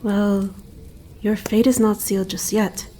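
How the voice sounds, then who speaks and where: A woman acts out a serene female winter spirit, guardian of a snowy mountain, for an ASMR roleplay. Her voice is soft and dark-toned at a middling pitch, with stately, archaic wording. Noticeable ambient noise sits behind her. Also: fairly even intonation, lightly acted, low-quality recording. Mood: calm, reassuring. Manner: formal, archaic, measured phrasing.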